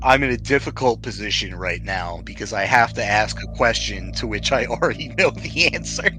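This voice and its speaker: A man's voice: fairly low pitch, expressive, fairly quick, music in the background. A man acting as game master, narrating a tabletop roleplaying game casually and jokingly, often laughing as he talks. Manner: jokey, laughs often while talking.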